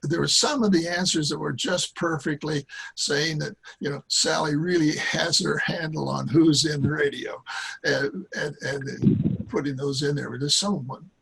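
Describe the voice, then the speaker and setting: An American man in his seventies chatting casually and haltingly on a video call from home, often repeating his words. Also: a little high, creaky, a bit bright, slight background noise, rough recording. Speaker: club member. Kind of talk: casual chat. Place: cluttered small room at home, on a video call. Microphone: computer mic on a video call.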